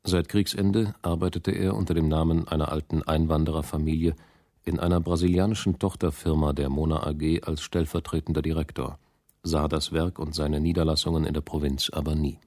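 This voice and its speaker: A man with a low voice narrates in a very dry studio. His delivery is sober and matter-of-fact, like a documentary report within a radio play. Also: flat delivery, a little fast, darkish tone, no echo.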